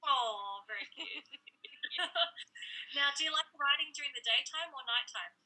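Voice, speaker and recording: A woman's voice: high-pitched, normal speed, pushed and bright, poor audio. A woman with an Australian accent hosting a casual online interview in a chatty, bubbly, playful way. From New South Wales, Australia. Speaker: interview host.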